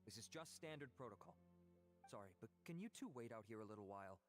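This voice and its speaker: A man's voice: lowish voice, expressive, quick pace, soft background music, lightly acted. A man voicing a polite, formal male investigator in a game who sticks to procedure.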